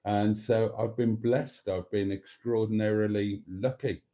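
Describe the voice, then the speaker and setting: A man's voice: lowish voice, fairly even intonation, measured pace, mellow. A British man in his fifties chatting casually on a live stream, rambling with frequent ums and calling his viewers darlings. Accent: British English. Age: 50s. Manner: chatty and self-deprecating, calls viewers darlings. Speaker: vlogger host.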